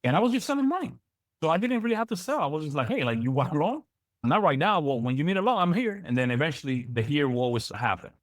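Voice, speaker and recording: Fast-talking man teaching a live class. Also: fairly high pitch, no echo, studio quality. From Dominican Republic. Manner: energetic, repeats words, many fillers. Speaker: business coach. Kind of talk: live online training lecture.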